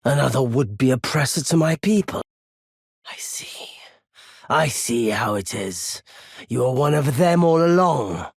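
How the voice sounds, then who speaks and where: An actor playing a wary, proud nomadic merchant in a video game, with a husky, gravelly voice and a weighty, theatrical delivery. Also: male voice, mid-range voice, lively intonation, measured pace, dry recording, studio quality, heavily acted. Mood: bitter. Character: wary, courteous nomadic male merchant turned bitter and vengeful. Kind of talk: scripted game character lines.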